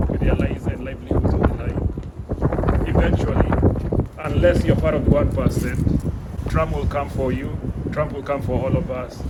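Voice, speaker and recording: A man raised in Kenya delivers a measured, impassioned public statement outdoors. His voice is soft-spoken and mid-pitched. Loud street traffic and wind sit under the voice. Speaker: professor and plaintiff. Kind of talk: press conference statement.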